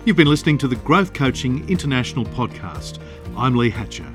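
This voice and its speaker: Male podcast host, polite, scripted sign-off, mid-pitched voice, faint music underneath. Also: sing-song, fairly quick. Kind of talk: podcast interview and outro.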